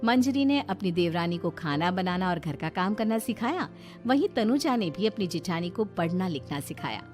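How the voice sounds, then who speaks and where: A woman reading a scripted moral tale as an expressive storyteller. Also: fairly low pitch, moderate pace, faint music behind. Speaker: story narrator.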